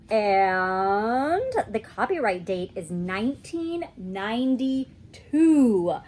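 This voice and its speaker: A woman who works as a teacher, talking and reading in an expressive, child-friendly storytime manner. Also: on the high side, breathy edge. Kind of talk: read-aloud storytime with casual chat.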